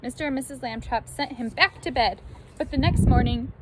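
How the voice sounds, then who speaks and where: High-voiced woman reading a storybook aloud. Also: brisk, background noise. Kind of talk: expressive storybook read-aloud.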